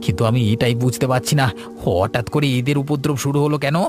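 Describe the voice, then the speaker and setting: A man's voice: mid-range voice, a little fast, over music, lightly acted. A man playing a cautious, uneasy village shopkeeper in a cartoon ghost story, hesitant and wary.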